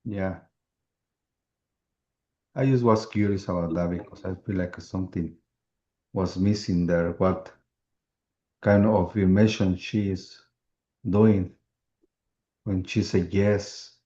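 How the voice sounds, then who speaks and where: A man's voice: low-pitched, steady tone, slow pace, long pauses, warm tone, highs cut off. A man, a pastor and chaplain in a peer group, who searches for his words and rephrases as he speaks. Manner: searching, repeats and rephrases as he goes. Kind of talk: group discussion.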